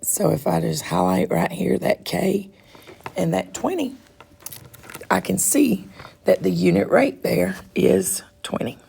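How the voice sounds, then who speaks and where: A woman teacher with a stuffed-up, froggy throat explains math slowly and patiently. Her voice is heavily gravelly and deeply husky, and she sits a little low in pitch. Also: sing-song, dark tone. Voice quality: raspy. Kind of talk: recorded math lesson walkthrough.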